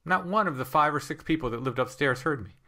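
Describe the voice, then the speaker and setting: A man's voice: fairly high pitch, animated, fast, sharp tone. A man hosting a chat show who speaks emphatically and repeats points. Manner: conversational, emphatic, repeats himself. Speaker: host. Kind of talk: casual interview chat.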